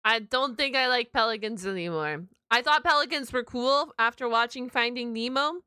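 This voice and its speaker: A woman with a fairly high, bright voice talks to her stream chat in a casual way. She is expressive and exclamatory, using slang as she reacts to a video. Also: moderate pace, clean tone, no room sound, studio quality.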